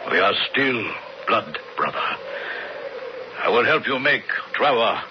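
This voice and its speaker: An actor playing a solemn, loyal blood brother in a 1970s radio western, with deliberate phrasing. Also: male voice, mid-range voice, very animated. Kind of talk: 1970s radio drama acting. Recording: muffled, hissy old radio recording.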